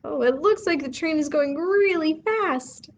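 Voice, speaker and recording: A woman hosting a bedtime read-aloud for young children, expressive and putting questions to her listeners. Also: high voice, measured pace. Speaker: children's story reader and host.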